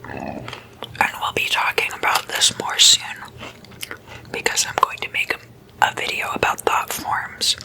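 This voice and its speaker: A person whispering in a breathy, unvoiced voice, chatting and explaining as an ASMR host in a deliberate manner. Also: low-quality recording. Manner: slow, deliberate, with drawn-out pauses.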